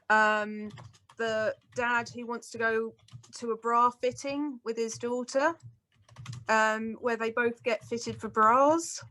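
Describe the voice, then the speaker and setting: Woman guest on an online talk, pretty fired up and blunt, voice a bit high. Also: fairly bright tone. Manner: emphatic, indignant. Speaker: guest speaker.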